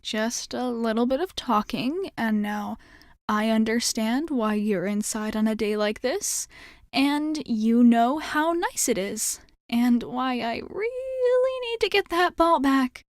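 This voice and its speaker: A woman's voice: on the high side, expressive, slow pace, no echo, clean studio sound. A woman playing a sporty schoolgirl in an ASMR roleplay, breezy and blunt yet sheepish, rambling casually and backtracking hesitantly. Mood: friendly, rambling. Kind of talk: ASMR roleplay acting, one-sided dialogue.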